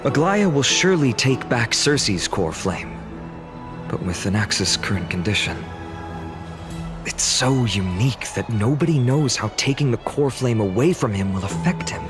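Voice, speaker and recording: A man playing an earnest young hero in a video game, explaining things in a measured, articulate way over music. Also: mid-range voice, very animated, natural voice. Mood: concerned. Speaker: game character. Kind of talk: scripted game dialogue, exposition. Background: background music.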